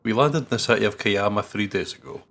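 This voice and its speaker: Laid-back male co-host, lowish voice, reads game text casually, slight noise behind. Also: fast, a bit bright. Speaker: co-host partner on a gaming channel. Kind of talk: casual let's play, reading game text aloud with asides. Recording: faint background noise and ambience. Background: faint noise, ambience.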